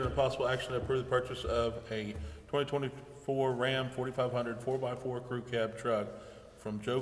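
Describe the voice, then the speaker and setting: Male meeting chair, formal agenda reading, long room reverb with faint noise. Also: mid-range voice. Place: indoor council chamber. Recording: echoey council chamber, faint noise.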